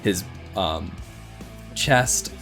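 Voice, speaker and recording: A man with a low voice narrates a tabletop game as a player. He improvises casually, halting and doubling back on words. Faint music sits behind him. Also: gravelly, fairly bright tone. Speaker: player in a tabletop roleplaying show.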